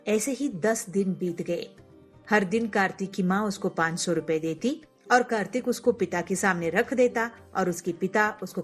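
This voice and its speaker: A woman narrates a scripted moral tale as a storyteller. Her mid-pitched voice moves up and down with lots of life, over soft background music. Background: faint music.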